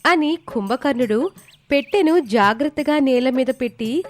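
A woman's voice: sits high, expressive, normal speed. A woman reading a scripted moral tale as the narrator, in storytelling style. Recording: background music behind the voice. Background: music.

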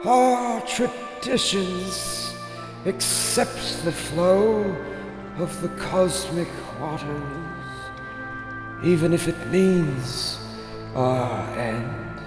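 Very slow, solemn alien elder. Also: male voice, fairly high pitch. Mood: solemn, resigned. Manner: ceremonial, measured. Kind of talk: radio drama acting. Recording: echoey hall reverb, music underneath.

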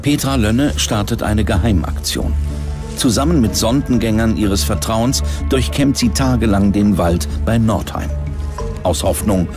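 A man's voice: lowish voice, unhurried. A man reading scripted documentary narration as a narrator. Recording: music behind the narration. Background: background music.

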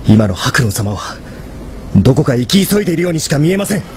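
Devoted young mafia lieutenant, audio drama: fairly high voice, formal, agitated, reproachful. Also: male voice, very animated, fast talker, darkish tone, a little hiss or hum. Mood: impassioned reproach. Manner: formal, pleading, impassioned. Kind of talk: Japanese drama CD acting.

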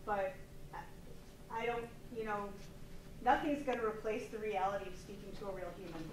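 A woman in a workshop audience speaking up in a measured, explanatory way during the discussion. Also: medium pitch. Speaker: workshop participant. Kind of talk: discussion comment. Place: seminar room. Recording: distant, echoey, noisy room mic.

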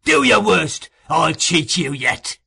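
A male reader plays a cornered storybook villain, brutal and desperate. He barks orders with menace in a raised, forceful, sharp-edged voice. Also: a little high, expressive, moderate pace, slightly rough, pitched above the performer's own voice, full character voice. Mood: defiant rage. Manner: barking, menacing commands.

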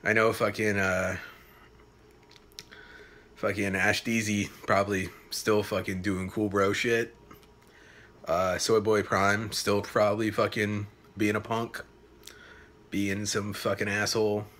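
A man's voice: fairly low pitch, level tone, fairly bright tone, slight background noise. Rambling young man filming himself. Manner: loose, swearing, halting with fillers. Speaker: vlogger. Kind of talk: casual rambling to camera. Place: small apartment room indoors. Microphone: phone camera at arm's length.

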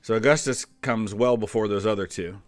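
A man's voice: lowish voice, fairly quick, dry recording. A man in his forties giving casual, off-the-cuff commentary as a channel host, thinking aloud and explaining as he goes. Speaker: host of a history reaction channel.

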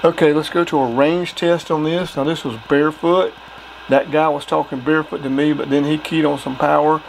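A man's voice: fairly high pitch, little pitch movement, a little fast, poor audio. A man giving a casual demonstration of gear as a hobbyist. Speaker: radio hobbyist reviewing gear. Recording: clear background noise.

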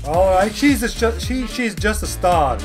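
A man in his thirties reacts casually and excitably in a high-pitched voice. He takes his time, repeats phrases and comments off the cuff, with prominent trailer music behind him. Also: a little slow. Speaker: reaction video host. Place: indoor room. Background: game trailer music.

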